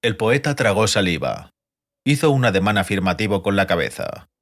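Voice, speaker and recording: A man's voice: lowish voice, sing-song, normal speed. A man narrating a fantasy audiobook as a scripted storyteller.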